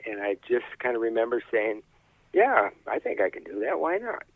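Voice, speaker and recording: A man's voice: mid-range voice, very animated, moderate pace, dry recording. An older man talking casually in an interview, in a plain-spoken, folksy, hesitant way, on slightly dull audio. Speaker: high school sports announcer being interviewed.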